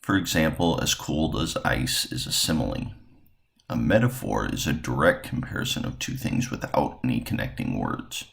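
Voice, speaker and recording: A man's voice: rumbling low, animated, moderate pace, creaky. A man teaching a class, plainly explaining and telling students to follow along.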